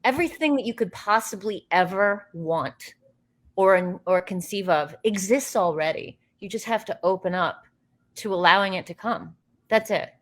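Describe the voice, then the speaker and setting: A woman from the United States, a guest in a casual interview, telling animated, rambling stories with many fillers and restarts. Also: mid-range voice, normal speed. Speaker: interview guest, actress and filmmaker.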